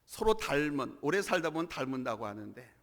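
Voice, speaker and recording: A male pastor with a cold-hoarsened voice, preaching a sermon emphatically in a church hall, with swells in volume and calls to the congregation. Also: medium pitch, big pitch swings, a little fast, a bit bright.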